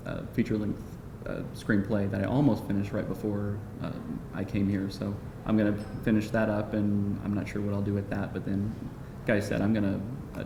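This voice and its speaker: A man speaking casually as a screenwriter at a live Q&A, halting, joking and self-deprecating. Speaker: screenwriter answering audience questions. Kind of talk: casual, unscripted Q&A talk. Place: live event room with audience. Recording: echoey live room, noisy rumble.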